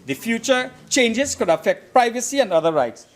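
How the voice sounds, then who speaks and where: A man with a notably high-pitched voice delivers a formal speech in parliament. He is emphatic and deliberate, often repeating a phrase to give it weight, in the measured register of legislative debate. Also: big pitch swings. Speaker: member of parliament. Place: parliament chamber.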